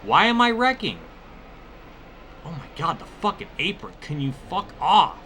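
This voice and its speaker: A man's voice: fairly high pitch, huge pitch swings, unhurried, bright, forceful tone, slight background noise. A man giving casual, rambling gameplay commentary mixed with personal storytelling, conversational and free with swearing. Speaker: gaming video creator.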